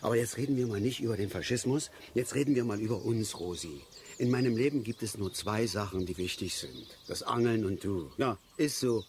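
An actor playing a stubborn, self-pitying middle-aged angler who grumbles and stammers self-righteously in a naturalistic radio play. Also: male voice, lowish voice, fairly quick, a little mellow, a little hiss or hum, lightly acted. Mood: defensive, aggrieved.

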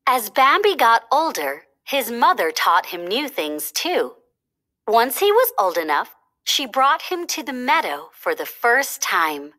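A woman reading a children's storybook aloud as narrator, with expressive, deliberate delivery. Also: a little high.

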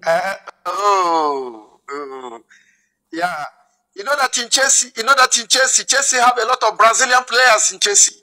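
Cheery nigerian guy in his 30s on a video call, high bright voice, says everything twice. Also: expressive, a little slow. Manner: repeats each phrase, cheerful and friendly. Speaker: video call chat partner. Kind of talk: casual video call chat. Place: indoors at home.

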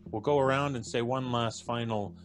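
A man's voice: mid-range voice, fairly even intonation, moderate pace, faint music behind. A middle-aged man hosting a casual live online panel as the podcast's presenter. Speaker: podcast host. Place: indoors, on a video call.

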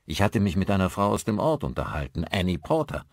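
A man reads the part of an inquisitive male newcomer in an audiobook. He sounds polite and casual, in a fairly low voice with a dry sound. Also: very animated, a little fast, no room sound, heavily acted. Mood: curious. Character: polite, inquisitive man new to village life.

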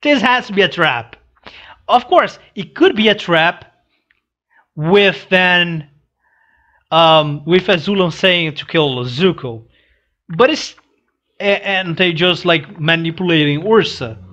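Young male reaction host, non-native English, slow and hesitant delivery, dull lo-fi headset sound. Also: high-pitched, animated, pushed and bright. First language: not English. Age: young adult. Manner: halting, hesitant phrasing. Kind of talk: casual reaction commentary. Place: small room indoors. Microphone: headset mic.

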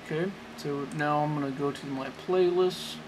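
Male amateur tutorial host, slightly high register, hesitant and self-correcting, casual delivery, faint noise on a handheld camera recording. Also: fairly even intonation. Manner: halting, rambling, self-correcting. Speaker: amateur tech tutorial presenter. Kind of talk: casual unscripted how-to demonstration. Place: small indoor room at a desk. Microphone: handheld camera, off-screen close behind it.

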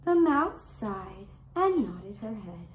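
A woman telling a children's puppet story in a deliberate, expressive way, recorded from a few feet away indoors. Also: mid-range voice. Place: indoor room set up as puppet stage. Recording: roomy echo, faint background noise.

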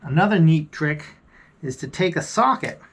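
A middle-aged man with a slightly high voice walks through repair tips in a casual, self-deprecating way. He is recorded on a camera mic inside a small wooden boat cabin. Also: animated, normal speed, fairly bright tone, a little hiss or hum. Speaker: vlogger. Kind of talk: casual how-to vlog narration.

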